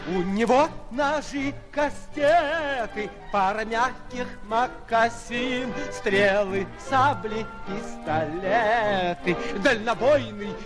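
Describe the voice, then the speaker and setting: Jaunty townsman, high theatrical voice, 1940s radio, music under him. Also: takes it slowly, hardly any pauses. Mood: jaunty singing. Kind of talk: 1940s radio play acting with comic song. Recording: old radio recording, loud music behind.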